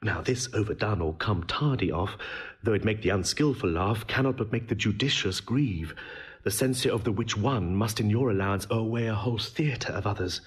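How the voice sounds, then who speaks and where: Casting: eloquent young prince, low husky voice, exacting, instructive, Shakespearean audio play. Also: male voice, normal speed. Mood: exacting, earnest. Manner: articulate, didactic. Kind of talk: classical Shakespearean audio drama.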